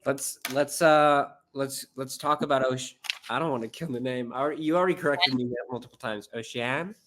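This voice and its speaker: Man, podcast host, average pitch, casual interviewer, quick agreeing replies. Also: fairly bright tone. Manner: short agreeing replies, easy laughs.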